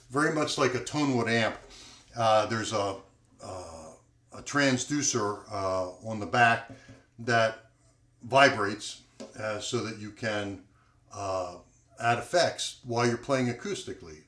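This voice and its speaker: A man reviewing an acoustic guitar in a relaxed, rambling way, with lots of fillers and a loud voice. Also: mid-range voice. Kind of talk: casual product review with playing. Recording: echoey room, guitar playing behind.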